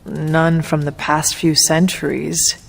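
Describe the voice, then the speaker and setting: A man's voice: on the high side, little pitch movement, slow, creaky, fairly bright tone, full character voice. A man playing a reserved, resurrected demon slayer in a video game, speaking in formal, stiff phrasing with music behind. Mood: formal, curious. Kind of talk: video game cutscene acting. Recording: background music under the voice.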